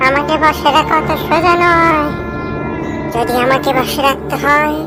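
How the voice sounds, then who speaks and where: Woman as a high-voiced bloodthirsty demoness. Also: over a noisy crowd. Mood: threatening. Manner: slow, threatening, repeating demands. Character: imperious, bloodthirsty female demon spirit demanding sacrifice. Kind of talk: cartoon horror acting. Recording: loud music over the voice.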